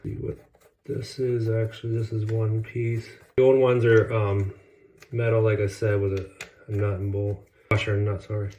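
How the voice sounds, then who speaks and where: Low-voiced guy with a stuffy cold rambling through a diy job, handheld cam, echoey bathroom with clatter behind. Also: little pitch movement, moderate pace, mellow. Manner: rambling, self-correcting, chatty asides. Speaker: flooring installer. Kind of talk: casual how-to vlog, filming himself. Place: indoor bathroom on a job site. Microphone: handheld camera. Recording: echoey room with noise behind.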